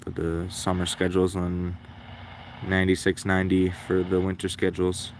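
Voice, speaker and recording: A man casually thinking out loud as he hosts a hobby livestream, in a low-key, rambling, unhurried way. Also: monotone, slight background noise. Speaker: livestream host, radio hobbyist. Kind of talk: casual livestream commentary, musing aloud.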